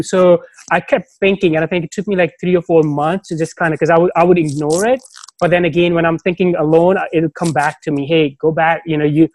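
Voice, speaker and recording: A man's voice: a little high, dry recording. A man as a podcast guest, talking casually and thoughtfully, often stopping to restart his sentences. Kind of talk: casual conversation.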